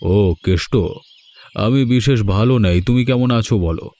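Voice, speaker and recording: A man's voice: on the low side, normal speed, dark tone, no echo, lightly acted. Haripada, a man as a worried village landlord. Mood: measured. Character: well-off male village landlord, worried, authoritative. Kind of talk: Bengali cartoon dubbing.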